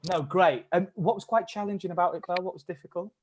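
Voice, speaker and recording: A man's voice: sits high, fast talker, slight background noise. A man leading an online acting workshop, encouraging and upbeat as he coaches the participants. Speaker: workshop leader. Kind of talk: live-streamed acting workshop.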